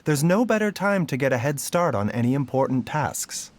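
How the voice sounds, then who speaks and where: An actor playing a gentle, scholarly doctor in a video game, speaking in a polite, measured and softly formal manner. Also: male voice, mid-range voice, very animated, natural voice. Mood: calm, welcoming. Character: gentle, courteous adult male doctor, calm and scholarly. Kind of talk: scripted game voice lines. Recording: music behind the voice.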